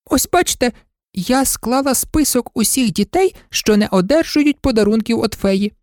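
A woman's voice: on the high side, lively intonation, moderate pace, darkish tone, no room sound, studio quality, pitched above the performer's own voice, close to an everyday voice. A woman voicing a frightened, chattering old servant woman who pleads and wails. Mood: eager chattering. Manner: pleading, wailing.

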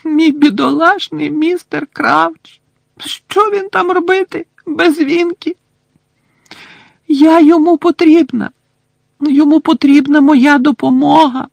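Crying squeaky lady elf, broken grammar, swoopy voice, husky. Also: darkish tone, no echo, full character voice. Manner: broken grammar, speaks of herself in the third person. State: sobbing. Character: tearful devoted female house-elf, shrill and distraught.

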